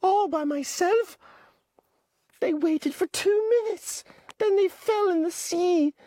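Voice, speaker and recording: A woman's voice: very high-pitched, takes it slowly, warm tone, no echo, voice pushed up. A woman voicing an anxious, indignant schoolboy with glasses, in a whiny, protesting tone.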